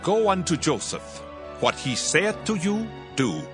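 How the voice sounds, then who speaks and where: A man's voice: high voice, very animated, a little slow, voice pushed up. A man voicing a stately, commanding king of ancient Egypt in grand proclamations for a dramatized Bible audio story. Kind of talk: dramatized scripture reading. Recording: loud music over the voice.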